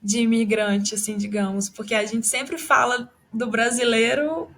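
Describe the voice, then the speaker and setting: A woman's voice: on the high side, steady tone, a little slow, a bit bright. A young woman hosting a casual travel interview, enthusiastic and encouraging toward her guest. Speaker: podcast host.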